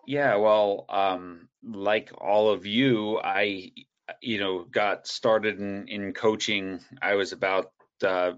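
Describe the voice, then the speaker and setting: Deep-voiced man rambling on a call. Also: slow pace. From United States. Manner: relaxed, rambling, self-deprecating, many fillers and restarts. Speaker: guest speaker, soccer coach educator. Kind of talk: casual storytelling in a video call. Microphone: video call. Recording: dull, lo-fi call audio.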